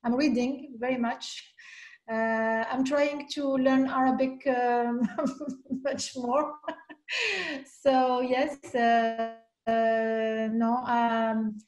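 A middle-aged woman, a guest artist speaking haltingly on a video call from her home, with many restarts. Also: fairly high pitch, little pitch movement, a little mellow, faint music behind. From Algeria. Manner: hesitant, many restarts and fillers. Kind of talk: video call panel talk. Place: home room.

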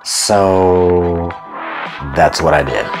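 A man's voice: deep voice, very slow, loud music behind the voice. A middle-aged American man talking informally and conversationally in a casual show-and-tell vlog. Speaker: video host.

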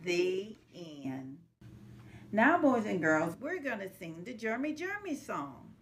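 A middle-aged woman reading a children's picture book aloud in a measured, sing-song rhyming way. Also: mid-range voice, big pitch swings. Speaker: early childhood center manager reading to children. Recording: noticeable background noise, home recording.

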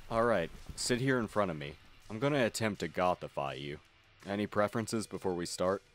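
Damien, deep-voiced gentle goth guy played by a man. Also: expressive, brisk, faint music behind, natural voice. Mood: easygoing. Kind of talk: ASMR roleplay acting.

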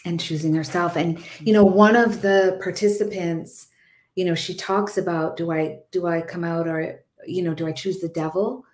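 A woman's voice: on the low side, fairly even intonation, moderate pace, a little mellow. A woman from Jamaica, a filmmaker on a panel, talking emphatically and searching for words over a webcam video call at home. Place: home room indoors. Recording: echoey room on webcam.

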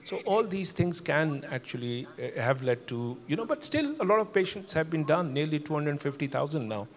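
Older guy on a medical panel, measured, uhs and you-knows, echoey big hall. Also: on the high side, big pitch swings. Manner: measured, explanatory, many fillers. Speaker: cardiologist panelist. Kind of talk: conference panel discussion. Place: large conference hall. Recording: long hall reverb.

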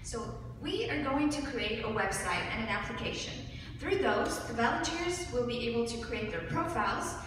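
A young woman from Ukraine giving a student pitch presentation with hesitant pauses, recorded from far off in a large hall. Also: expressive. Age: young adult. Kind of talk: rehearsed group pitch presentation. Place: large hall with seated audience. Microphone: far audience camera. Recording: echoey hall from far off, room noise.

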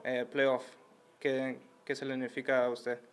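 A man's voice: mid-range voice, monotone, unhurried. A man working as a reporter, putting post-match questions hesitantly, with little tag questions, in a noisy recording. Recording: clearly noisy room recording.

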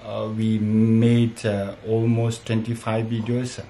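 A man in his thirties from Tibet, speaking as a non-native with a Tibetan accent, chatting casually and haltingly as a family vlogger and repeating himself. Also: on the low side, completely flat delivery, warm tone. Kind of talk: casual vlog chat. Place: small bright room at home. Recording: echoey room, faint background noise.

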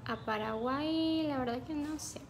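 A woman's voice: sits high, measured pace, creaky, background noise. A young woman from Ecuador chatting casually with viewers on a livestream, reading out their names and answering them. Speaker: livestream host. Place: small room indoors. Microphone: phone on a ring light stand.

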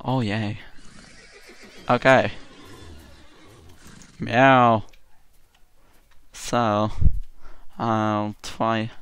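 A young man with a British accent giving chatty, excitable commentary while playing a game at his computer. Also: lowish voice, gravelly, smooth. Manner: chatty, excitable, self-mocking. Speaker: gaming channel host. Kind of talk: casual gameplay commentary.

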